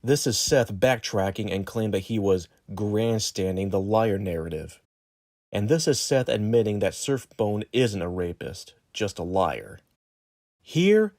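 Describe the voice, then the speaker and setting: A man's synthetic robotic voice reading a formal, scripted exposé in a measured way. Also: lowish voice, expressive, darkish tone, no room sound, studio quality. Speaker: video narrator. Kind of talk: scripted narration, accusatory exposé.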